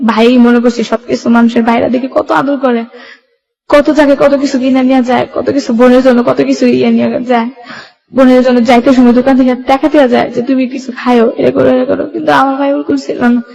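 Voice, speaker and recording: A woman's voice: high voice, vocal fry, a little mellow, rough recording. A young woman with a colloquial Bangladeshi Bengali accent, telling her life story in a plain, run-on way as a radio interview guest.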